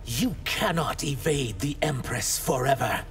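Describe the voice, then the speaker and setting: A man voicing a loyal, defiant reptilian emissary in a video game, creaky, deliberate and challenging. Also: mid-range voice, expressive. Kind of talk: video game character dialogue. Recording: music behind the voice.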